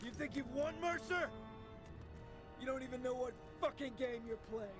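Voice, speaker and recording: An actor playing an aggressive, taunting fighter with a hostile delivery. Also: male voice, very high voice, normal speed, music in the background.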